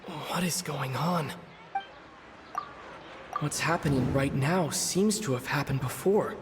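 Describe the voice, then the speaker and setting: A man playing a pensive young traveler in a video game, musing deliberately in an echoing inner monologue. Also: on the high side, noisy background. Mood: pensive, uneasy. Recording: long echoing reverb. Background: music.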